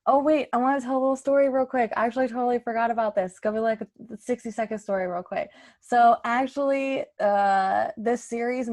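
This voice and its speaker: A woman's voice: mid-range voice, a little mellow. A woman hosting a podcast in a chatty, informal, giggly way. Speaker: podcast host. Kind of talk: casual show introduction.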